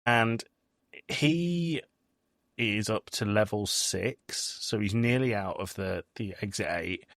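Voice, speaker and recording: A man's voice: lowish voice, slow, a bit bright, no room sound, clean studio sound. A man hosting a podcast, recapping a film in casual discussion and often repeating words as he thinks. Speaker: podcast host.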